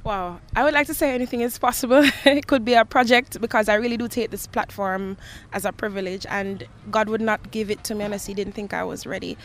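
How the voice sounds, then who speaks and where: A woman's voice: medium pitch, steady tone, fairly quick. A young woman with a Jamaican accent giving earnest, reflective answers in an interview, as a gospel singer. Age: young adult. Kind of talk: interview answers, personal testimony. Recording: noisy location with ambient sound.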